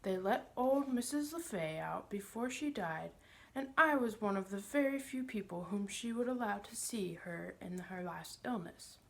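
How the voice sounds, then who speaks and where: A woman's voice: medium pitch, animated, moderate pace, creaky, fairly bright tone. A woman voicing a pompous, sly older male magician in a storybook, smug and condescending, with a wheedling manner. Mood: confiding.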